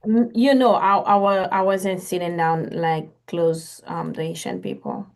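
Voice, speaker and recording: A woman co-hosting a casual live-stream chat, speaking in a relaxed, halting way and laughing now and then. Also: fairly low pitch, vocal fry. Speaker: co-host.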